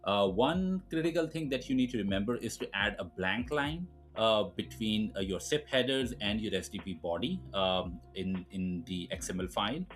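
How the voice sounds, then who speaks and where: A man with a fairly low voice walks through a technical tutorial. He explains step by step in an informal way, with music behind him. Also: lively intonation, normal speed, hardly any pauses. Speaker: tutorial presenter. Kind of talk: screen-recorded software tutorial, explanatory.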